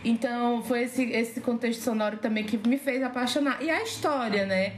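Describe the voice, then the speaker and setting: A woman's voice: fairly high pitch, moderate pace. Enthusiastic Cearense woman in a roomy echo. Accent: Cearense Brazilian. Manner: gushing, enthusiastic. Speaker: podcast guest, slam poet. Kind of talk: casual chat. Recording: roomy echo, faint background noise.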